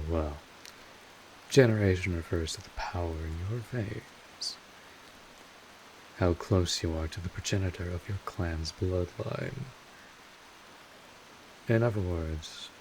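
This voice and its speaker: A man playing a gruff but caring vampire mentor in an audio roleplay, explaining things in an informal, rambling, mildly exasperated way. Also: low-pitched, a little hiss or hum, poor audio. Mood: casual, explanatory. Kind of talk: scripted audio roleplay addressed to the listener.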